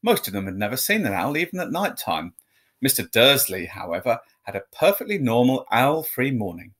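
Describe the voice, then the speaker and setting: A man with a medium-pitched voice reads a storybook aloud for young listeners. His delivery is expressive and measured, leaning on key words for dramatic emphasis. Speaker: storyteller reading aloud to students. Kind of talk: expressive children's storybook reading.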